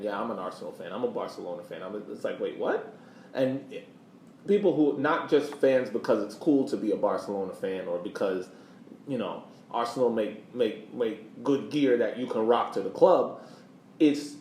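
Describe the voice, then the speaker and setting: A man with a rather low voice chats as a podcast host. He is emphatic, often repeating a phrase to drive it home. The sound is echoey, with faint noise in the background. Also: darkish tone. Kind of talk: casual soccer podcast discussion.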